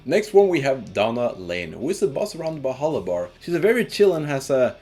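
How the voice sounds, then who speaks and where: Man, lively swinging intonation, casual review narration, informal and sweary, faint music behind. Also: medium pitch, brisk. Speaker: video game reviewer. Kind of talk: casual scripted video game review narration.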